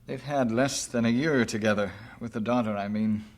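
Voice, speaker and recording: A man's voice: medium pitch, moderate pace, natural voice. A man playing a concerned, respectful mission colleague in an old-fashioned radio drama, speaking thoughtfully and with some hesitation.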